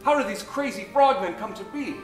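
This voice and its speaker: A man's voice: high-pitched, brisk, echoey room, faint music behind, natural voice. A man acting an earnest, anguished young hero in a comic old-time radio play, with overwrought melodrama. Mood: grave, insistent.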